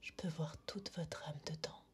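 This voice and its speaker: An actress playing a menacing, eerily calm young witch in a film monologue, speaking in a slow, deliberate, breathy hush. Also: sits low, lightly acted. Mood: taunting, calm. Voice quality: breathy, hushed near-whisper. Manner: slow, deliberate, intimate, taunting.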